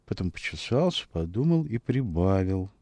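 Mellow male literary narrator, dry sound. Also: low-pitched, sing-song, moderate pace, no echo. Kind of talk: dramatic literary narration for radio.

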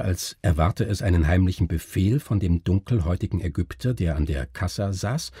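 A man with a husky voice giving an expressive, suspenseful dramatic reading of a literary story as its narrator. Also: sits low, no echo, clean studio sound.

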